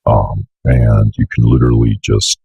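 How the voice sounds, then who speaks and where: A young man giving a casual, offhand screencast tutorial with some swearing, in a very deep, fry-laden voice close to a desk mic. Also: level tone, moderate pace, muffled recording. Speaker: tutorial host. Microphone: close desk microphone on an arm.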